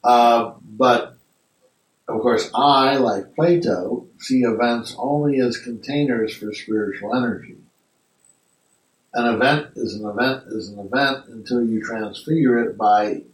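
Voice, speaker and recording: A man's voice: mid-range voice, measured pace. Reflective man lecturing in an echoey room. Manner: reflective, thinking aloud with restarts. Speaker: discussion group leader. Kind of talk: philosophy class discussion. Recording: echoey room, mic far off.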